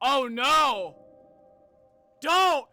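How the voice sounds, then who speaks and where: A young man giving casual, excitable live reaction commentary, exclaiming and thinking aloud. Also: very high voice, drawn-out words, dry recording, faint music behind. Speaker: reaction video host.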